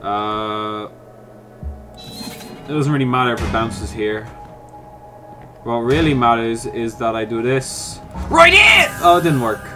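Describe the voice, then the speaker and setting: A man's voice: mid-range voice, sing-song, slow pace, long pauses, bright, forceful tone, soft background music. A man giving let's-play commentary, chatting to himself while he works out puzzles and snapping at the game in mock frustration. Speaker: gaming YouTuber.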